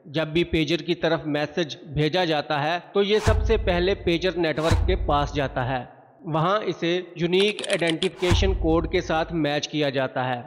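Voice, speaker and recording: A man reading a scripted documentary-style explainer in a formal, measured way. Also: fairly high pitch. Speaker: narrator of an explainer video. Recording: muffled, long reverb on voice.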